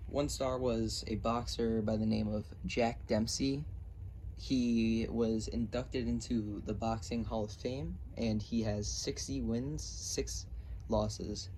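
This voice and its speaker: A man presenting a scripted question-and-answer narration, reading aloud a little haltingly. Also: mid-range voice, fairly even intonation, mellow. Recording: noisy amateur recording.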